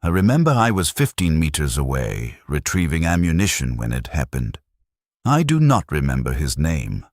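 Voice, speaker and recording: A man voicing a battle-worn, fatalistic heavy gunner in a sci-fi war story, precise and deliberate. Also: a bass voice, wildly swooping pitch, no echo, clean studio sound, heavily acted. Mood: detached, grim. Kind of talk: character dialogue in military sci-fi story narration.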